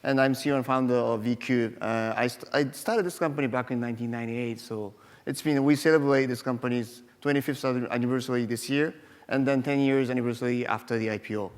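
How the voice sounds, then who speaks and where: A man from Japan introducing himself as a panelist, halting with frequent fillers and restarts. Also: medium pitch, steady tone. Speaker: panelist, company CEO. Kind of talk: forum self-introduction. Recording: long hall reverb.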